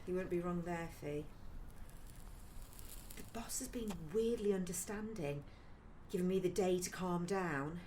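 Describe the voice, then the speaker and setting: A woman's voice: fairly low pitch, measured pace, long pauses, dark tone, a little hiss or hum, lightly acted. An actress playing a frustrated, apologetic career woman in her thirties in a naturalistic audio drama, speaking hesitantly. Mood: weary, frustrated.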